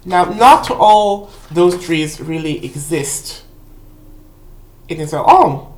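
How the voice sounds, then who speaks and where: Low-voiced Hebrew-speaking woman in echoey room. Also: animated, slow, darkish tone. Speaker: book haul vlog host. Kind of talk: casual rambling book review. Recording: roomy, echoey indoor sound.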